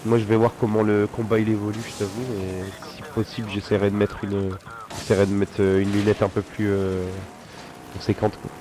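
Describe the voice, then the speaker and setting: Young man with a cold, low slangy chat. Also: flat delivery, brisk, noisy background. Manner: rambling, slangy, laid-back. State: stuffy with a cold. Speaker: guest player. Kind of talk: casual gaming chat. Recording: game sounds clearly behind.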